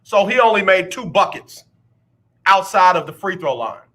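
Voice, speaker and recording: Animated radio host, bright voice. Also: male voice, high voice, moderate pace. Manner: animated, teasing, rhetorical questions to listeners. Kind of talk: casual sports talk radio monologue. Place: radio studio.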